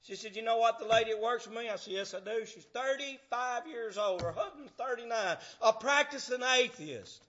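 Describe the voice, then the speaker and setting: Pastor in his fifties, high bright voice, fiery delivery that shouts then hushes. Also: normal speed, few pauses, muffled recording. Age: 50s. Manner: fervent, swings from shouting to hushed. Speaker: preacher. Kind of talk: sermon. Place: church.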